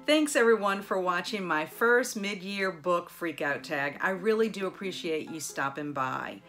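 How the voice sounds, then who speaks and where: A middle-aged woman hosting a book channel, chatting casually to viewers with enthusiastic, expressive emphasis. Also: mid-range voice. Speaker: book vlogger and channel host.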